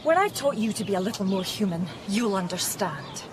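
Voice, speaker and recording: A woman's voice: mid-range voice, animated, a little fast, background noise, close to an everyday voice. A woman playing a grieving, frightened but defiant mother in an emotional, earnest radio drama performance. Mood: bitter, afraid.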